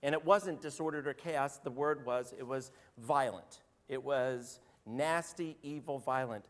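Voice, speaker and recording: A man, a rabbi with a slightly hoarse voice, giving a chatty, joking sermon and calling on congregants by name. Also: mid-range voice, measured pace. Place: synagogue sanctuary. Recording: roomy hall reverb.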